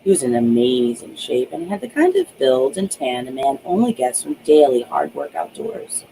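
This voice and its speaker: A woman reading her own novel aloud as an audiobook, in expressive narration with small stumbles. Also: low-pitched, slight background noise, poor audio.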